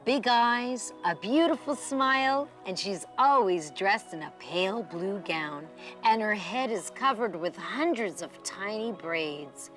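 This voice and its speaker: Storybook role, read by a woman: small, kindly elderly island woman, wondering and lively in pitch. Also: slow pace, faint music behind. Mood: admiring. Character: tiny, strong old Caribbean mountain woman, kindly and wondering. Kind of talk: storybook character reading.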